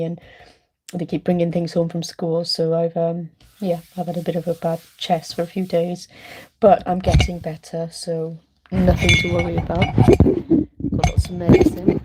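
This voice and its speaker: A middle-aged woman chatting on a late-night live stream, rambling warmly with many ums while congested and chesty from a cold. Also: fairly low pitch, low-quality recording. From Wales. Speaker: art channel host.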